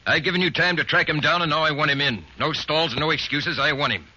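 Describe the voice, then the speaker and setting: An actor playing a stern, impatient police chief who gives blunt, clipped orders in a 1940s radio drama, on a dull, hissy old broadcast recording. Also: male voice, fairly high pitch, fast, sharp tone, husky, full character voice.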